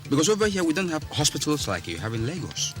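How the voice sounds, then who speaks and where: An actor plays a genial village man who calls himself a man of peace. His voice is high, bright and bouncy, and he laughs easily. Faint music plays behind him. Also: big pitch swings, fast talker. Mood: jovial. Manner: jovial, laughing, talkative.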